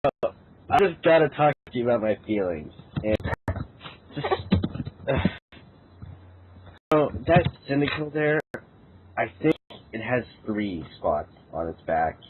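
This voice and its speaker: A man voicing an earnest, clingy young man in an amateur fan fiction reading, with a halting, dude-ish delivery. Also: medium pitch, sing-song, voice pushed down. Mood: hesitant, needy. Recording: tinny, band-limited, noisy amateur voice recording.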